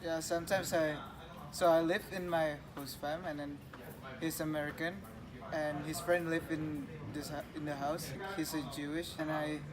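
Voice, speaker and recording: A man's voice: fairly high pitch, poor audio. A young man from Indonesia with an Indonesian accent, answering in a modest, easygoing way. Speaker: interviewee. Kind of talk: casual interview answers. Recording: crowd in background.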